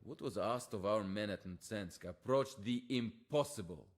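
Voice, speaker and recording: An actor playing a disillusioned, haunted Soviet lieutenant in a game cutscene, with a bitter, weary manner. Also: male voice, lowish voice, a little slow, a little hiss or hum, natural voice. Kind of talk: dramatic game cutscene dialogue.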